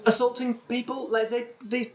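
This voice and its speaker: A woman's voice: fairly high pitch, little pitch movement, normal speed, reverberant, voice pushed up, natural voice. A man voicing a flustered pub regular who turns outraged and rousing. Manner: hesitant, then outraged and rousing. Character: flustered woman pub regular turned rabble-rouser.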